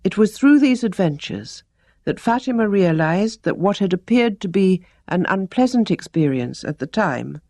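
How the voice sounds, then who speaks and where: Female storyteller, medium pitch with lively melody, deliberate scripted reading, dry studio-like sound. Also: no echo. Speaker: storyteller reading teaching tales.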